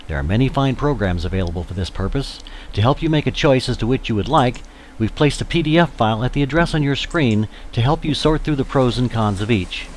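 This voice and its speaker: A man's voice: medium pitch, expressive, fairly quick, slight background noise. A man giving scripted, step-by-step instructional narration as a tutorial narrator for volunteers.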